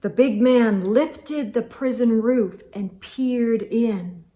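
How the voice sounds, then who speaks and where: A woman reading a children's story aloud with expressive narration. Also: a little high, slow pace.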